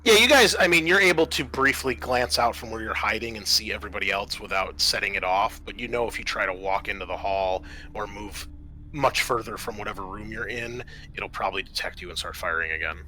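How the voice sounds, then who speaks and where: A man acting as game master, improvising narration and explaining rules, often pausing mid-sentence as he thinks. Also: lowish voice, pushed and bright, rough recording. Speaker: game master and host of a tabletop roleplaying session. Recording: noticeable background room noise.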